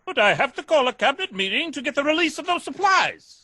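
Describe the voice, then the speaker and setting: An actor playing a deluded, pompous nephew who declaims like a military commander in a radio comedy. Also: male voice, very high voice, sing-song, fast, pushed and bright, no room sound, exaggerated voice. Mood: eager, blustering. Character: deluded, booming nephew who believes he is Teddy Roosevelt. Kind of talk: radio comedy theatre acting.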